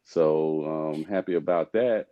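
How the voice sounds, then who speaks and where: A man's voice: extremely low pitch, slow pace, dry recording. A man in his forties from Texas, talking casually as a guest in a polite, hesitant way on a muffled microphone. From Texas, USA. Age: 40s. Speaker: show guest, retired soldier. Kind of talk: casual self-introduction.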